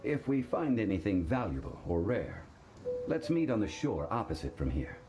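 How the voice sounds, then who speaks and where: Deep, dignified ancient scholar. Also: male voice, very animated, over music. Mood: calm. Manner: measured, formal and explanatory. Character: ancient, composed male scholar, dignified and calm. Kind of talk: video game voice acting.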